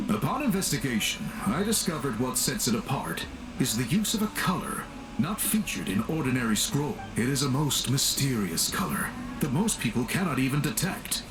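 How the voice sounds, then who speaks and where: A man as a formal, lively-toned scholarly game character. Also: mid-range voice, natural voice, rough recording. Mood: intrigued, measured. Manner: formal, measured, explanatory. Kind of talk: video game voice acting.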